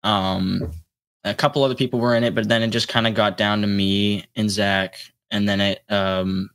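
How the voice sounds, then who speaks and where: A young adult man chatting casually as an interview guest, with a halting, rambling delivery. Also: on the low side, flat delivery, fairly bright tone, smooth. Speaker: guest, solo electronic musician.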